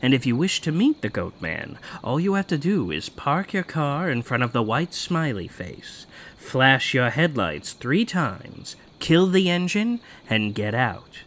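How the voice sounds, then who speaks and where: A man's voice: medium pitch, big pitch swings, measured pace, hardly any pauses, a bit bright, a little hiss or hum. An actor playing a cocky, foul-mouthed man who tells spooky local legends in a teasing, theatrical way. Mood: storytelling. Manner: teasing, theatrical, casually profane. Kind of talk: naturalistic horror audio drama acting.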